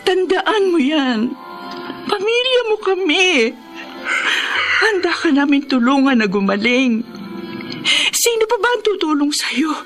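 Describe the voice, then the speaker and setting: A high-voiced, pleading, devoted wife. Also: female voice, sing-song, unhurried, pauses often, a bit bright, exaggerated voice. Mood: reassuring. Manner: tender, tearful, imploring. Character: devoted, pleading wife nursing her sick husband. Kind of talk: radio drama acting. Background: dramatic music.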